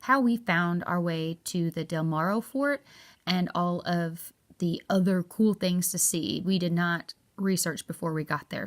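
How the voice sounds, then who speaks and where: A woman with a Southern US accent, narrating a chatty, upbeat travel review in a folksy way. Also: lowish voice. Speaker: travel vlogger.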